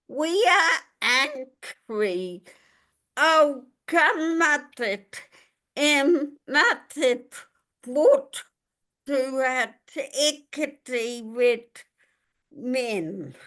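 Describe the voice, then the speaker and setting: A woman reading a poem of lament in short, halting, broken phrases with long pauses. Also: sits high, a bit bright. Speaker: poet reading own work. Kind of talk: poetry reading, lament addressed to God.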